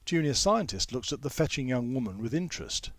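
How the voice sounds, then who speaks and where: A man's voice: medium pitch, sing-song, brisk, dry recording. A man reading his own fiction aloud as scripted audiobook narration. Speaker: author reading own story.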